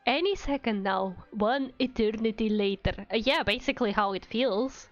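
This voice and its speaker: High-voiced woman streamer, slightly dull audio. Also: normal speed, soft background music. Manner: informal, wry, mildly sweary. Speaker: VTuber streamer. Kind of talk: casual game livestream commentary.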